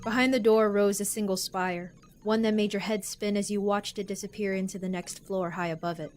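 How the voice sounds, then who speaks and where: A woman's voice: mid-range voice, fairly even intonation, faint music behind, natural voice. A woman playing a brash, sardonic getaway driver who also narrates, in a wry manner, in a sci-fi audio drama. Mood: narrating, wry. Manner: wry, quick retorts, exasperated. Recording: clear background noise with sirens and vehicles.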